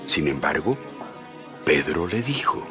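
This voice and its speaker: A man narrating a dramatized Bible story in an expressive storytelling manner. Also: a bass voice, slow pace, over music.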